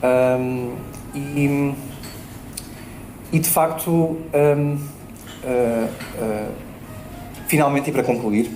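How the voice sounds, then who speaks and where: A man with a European Portuguese accent speaks as a debate panelist, pausing often with fillers and tag questions. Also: mid-range voice, fairly even intonation, a little mellow, soft background music. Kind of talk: public panel discussion. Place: event space at a bookshop. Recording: roomy hall with faint noise.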